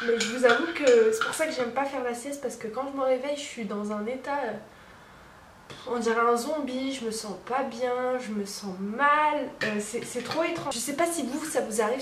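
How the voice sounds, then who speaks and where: A woman's voice: a little high, reverberant, background noise. Chatty young woman with a cold. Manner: chatty, many fillers and restarts. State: stuffy with a cold. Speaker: vlogger. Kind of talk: casual daily vlog chat.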